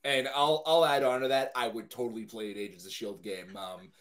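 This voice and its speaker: A man's voice: medium pitch, fairly even intonation, brisk, a bit bright. A man talking casually as a guest panelist in a group discussion.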